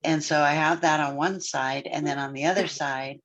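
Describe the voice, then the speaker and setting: A podcast co-host and therapist talking through family background in a reflective, measured way, with frequent pauses and fillers. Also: mid-range voice, steady tone, fairly bright tone. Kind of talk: casual podcast discussion. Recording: dull, lo-fi sound.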